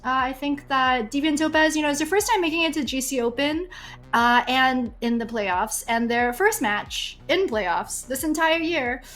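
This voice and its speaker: A woman's voice: high voice, normal speed. A young woman from Singapore, chatting animatedly as an esports desk caster. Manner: chatty and animated, laughs often.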